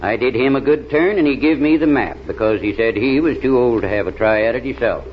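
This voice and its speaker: Actor as folksy backwoods Southerner, muffled old radio. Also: male voice, medium pitch, a little fast, lightly acted. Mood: recounting adventures. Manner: drawling, folksy, ungrammatical speech. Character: folksy backwoods American adventurer, plain-spoken, unhurried storyteller. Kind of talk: 1930s radio serial acting. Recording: dull, noisy old radio recording.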